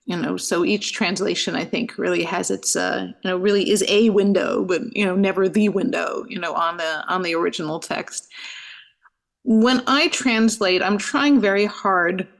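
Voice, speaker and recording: A middle-aged woman rabbi, a podcast guest who answers thoughtfully, often pausing with "you know" and restarting her sentences. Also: on the low side, creaky. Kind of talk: reflective interview answers.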